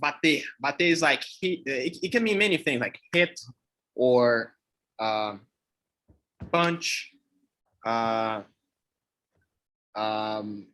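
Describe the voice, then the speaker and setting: A man's voice: mid-range voice, lively intonation, takes it slowly, long pauses, bright, forceful tone. A young man whose first language is Brazilian Portuguese, speaking English with a Brazilian accent in a casual chat, thinking aloud and searching for words as he goes. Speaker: co-host teaching expressions.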